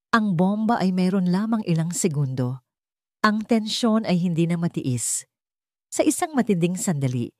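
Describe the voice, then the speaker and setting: Lower-pitched woman narrating a dramatic story. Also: a little mellow, dry recording, clean studio sound.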